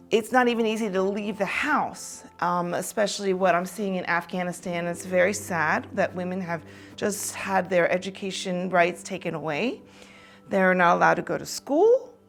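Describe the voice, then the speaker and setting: A woman raised in Taiwan, an optometrist, giving an earnest prepared talk with frequent pauses and restarts. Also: fairly low pitch. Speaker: optometrist speaking on women's empowerment.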